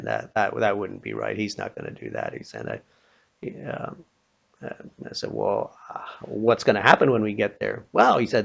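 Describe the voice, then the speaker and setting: A man telling a story informally, acting out the dialogue, with a halting, pausing delivery and a creaky voice. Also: fairly low pitch. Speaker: storyteller, pastor. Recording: dull, muffled lo-fi recording.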